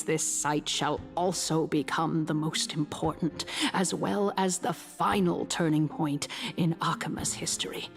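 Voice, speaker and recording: A shrewd stateswoman from a fantasy video game, composed and courtly. Her low voice carries a light creak as she delivers formal, grandiose oratory in measured phrases. Soft music plays behind her.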